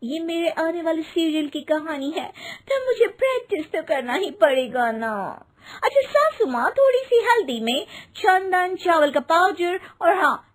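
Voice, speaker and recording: A woman's voice: extremely high pitch, sing-song, unhurried, voice pushed up, heavily acted. A woman voicing a vain young TV actress and daughter-in-law in a comic cartoon story, affected, coy and self-important. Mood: coy, gleeful.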